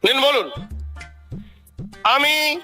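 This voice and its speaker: A man's voice: very high-pitched, animated, very slow, bright, forceful tone, heavily acted. A man doing an exasperated cartoon court clerk. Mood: scolding. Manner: exasperated, scolding, formal. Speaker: court official. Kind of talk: comic cartoon dubbing. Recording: cartoon soundtrack with faint music.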